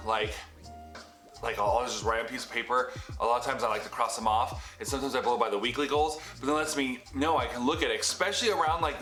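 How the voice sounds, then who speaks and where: A bright, forceful male voice in his thirties, talking straight to the camera in a rambling, self-correcting way. The room rings with noticeable echo, and a little music sits faintly underneath. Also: medium pitch. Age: 30s. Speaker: business vlogger. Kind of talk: casual talking-head vlog. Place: home office room. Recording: echoey room with light music. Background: heater humming.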